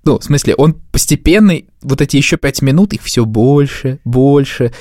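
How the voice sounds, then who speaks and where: A man's voice: medium pitch, normal speed, a little mellow, no room sound, studio quality. A man talking casually and colloquially, thinking aloud as a podcast co-host. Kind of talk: casual conversational discussion.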